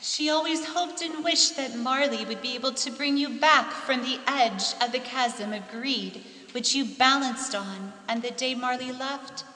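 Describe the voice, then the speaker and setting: Lady doing solemn stern lady spirit in a radio play, highish voice, big echoey hall. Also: a little slow, sharp tone, a little hiss or hum. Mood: grave, firm. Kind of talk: live-audience radio play acting. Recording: echoey hall with long reverb.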